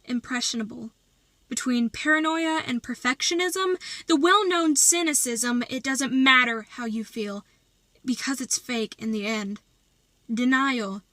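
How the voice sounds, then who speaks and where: A woman performing slam poetry as a poet, with dramatic, deliberate delivery and emphatic phrasing. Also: sits high, bright, forceful tone, no echo.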